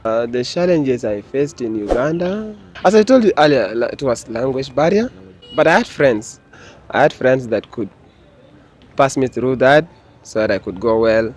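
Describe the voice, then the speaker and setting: A man's voice: medium pitch, animated, moderate pace. A man, a law student answering interview questions in an easygoing way. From South Sudan. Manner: easygoing, with fillers and small laughs.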